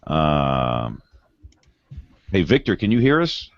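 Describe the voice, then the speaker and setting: A man's voice: very deep voice, wildly swooping pitch, takes it slowly, no room sound, muffled recording. A man hosting a weather podcast in a studio, with loose, laughing banter. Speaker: podcast host. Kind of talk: casual chat and show opening. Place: broadcast studio.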